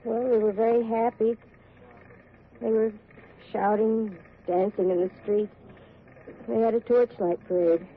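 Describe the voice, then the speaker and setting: An actress playing a weary young officer's wife in a 1940s radio drama, subdued, hesitant and earnest. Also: female voice, on the high side, steady tone, measured pace, long pauses. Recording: tinny, noisy vintage radio recording.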